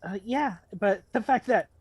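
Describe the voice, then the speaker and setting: A man bantering casually as a co-host, making rambling, halting comic riffs. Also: sits high, husky, no echo. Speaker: podcast co-host commentator.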